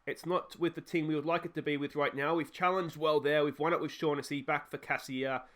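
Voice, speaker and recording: Croaky Australian man, higher voice. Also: brisk. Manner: chatty, reacts excitedly to match highlights. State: slightly croaky from a cold. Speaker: gaming channel host. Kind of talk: casual let's play commentary.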